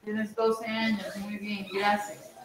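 A young adult woman teacher with a Colombian accent, taking roll in an online class on a laptop mic, brisk and repetitive. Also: mid-range voice, little pitch movement. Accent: Colombian Spanish. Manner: brisk, repeats names and ages, says "listo". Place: indoors at home on a video call. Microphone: laptop mic on video call. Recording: very echoey room.

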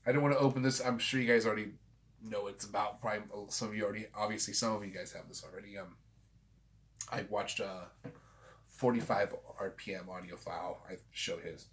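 A man's voice: on the low side, fairly even intonation, fairly quick. A man in his forties hosting a home vlog, talking loosely and swearing freely after a couple of drinks, recorded on a desk camera in a small room. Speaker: vinyl collector channel host. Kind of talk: casual rambling vlog. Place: small home room with framed pictures and a guitar.

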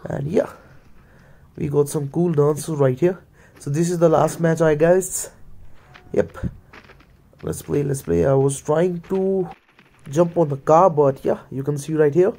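Male gamer, Indian accent, Hindi and Punjabi native. Relaxed, dark-toned, slightly high voice, casual running commentary. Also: unhurried, vocal fry, long pauses. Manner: mutters play-by-play, slips into Hindi. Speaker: gaming video creator.

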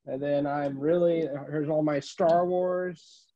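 A middle-aged man giving a casual show-and-tell tour as a collector, listing items haltingly, recorded indoors on a handheld phone. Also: a little high, steady tone, warm tone. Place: room at home, indoors. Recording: dull, muffled phone audio.

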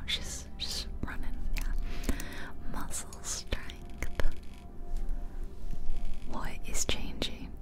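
Woman doing asmr game chat, all whispered right up on the mic, rambly and casual, music loud behind her. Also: very slow, pauses often. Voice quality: soft unvoiced whisper. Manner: casual, rambling, chatty asides. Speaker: ASMR creator. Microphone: close ear-to-ear ASMR microphone.

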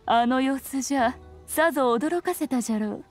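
Anime game mystic played by a woman: old-fashioned, knowing, high register, archaic turns of phrase. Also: normal speed, faint music behind, lightly acted. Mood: amused, knowing.

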